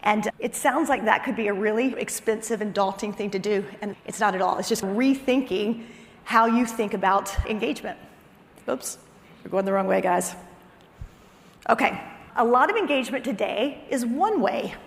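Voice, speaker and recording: A woman's voice: medium pitch, normal speed, vocal fry. A woman giving a business keynote, speaking emphatically with repeated phrases and conversational asides. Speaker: chief marketing officer giving a conference talk. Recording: reverberant hall sound.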